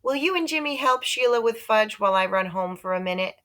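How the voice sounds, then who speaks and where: Woman acting a doting, harried mom, pitched up. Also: expressive, a little fast, fairly bright tone. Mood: hesitant asking. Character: doting, harried mother of two young boys.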